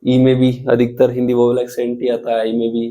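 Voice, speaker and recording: A man teaching a grammar lesson, explaining rules slowly and spelling out examples, often asking the students questions. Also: medium pitch, completely flat delivery, dark tone. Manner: slow, deliberate, repeats and spells out examples.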